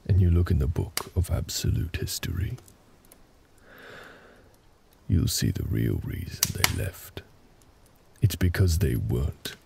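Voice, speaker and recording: Big tender orc husband, male actor for intimate comfort audio: extremely creaky low growl, unhurried, reassuring storytelling. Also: warm tone, slight background noise, heavily acted. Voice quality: very deep, gravelly. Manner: slow, hesitant, reassuring, conversational.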